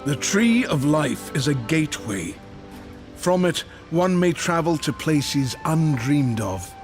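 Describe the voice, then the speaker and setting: A man acting a wise old maker in a video game, solemn and measured, speaking like an oracle. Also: a little high, big pitch swings, faint music behind. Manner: measured, oracular.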